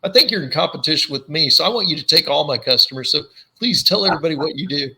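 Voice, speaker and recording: An animated male podcast host with a bright, forceful tone and a slightly raised pitch. He tells funny stories in a loose, chatty way and acts out the people in them. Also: quick pace, breathy edge. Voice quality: low commanding voice. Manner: animated storyteller, acts out voices. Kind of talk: casual storytelling chat.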